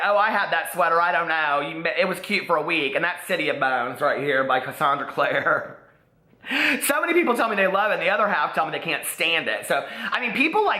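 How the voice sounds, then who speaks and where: A chatty book vlogger, a man from Indiana, talks through his reading list with a bright, forceful voice and a slight Southern drawl. His lively, sassy asides come through with noticeable room echo. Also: fairly high pitch. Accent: Midwestern American with a slight Southern drawl. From Indiana, USA. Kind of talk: casual chat to camera.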